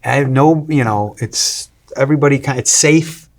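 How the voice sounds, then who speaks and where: A man, a restaurant owner talking as an interview guest, energetic and salesy with many fillers and restarts. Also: mid-range voice, normal speed. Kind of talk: casual interview talk.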